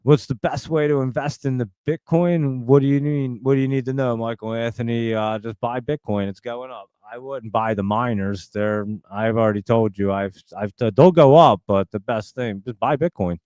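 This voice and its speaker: A man's voice: medium pitch, normal speed, few pauses, a little mellow, clear, resonant tone, no room sound. A man in his forties with a New Jersey accent, rambling casually as he hosts a live stream and answers viewer comments. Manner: rambling, chatty, lots of fillers and restarts. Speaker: livestream host.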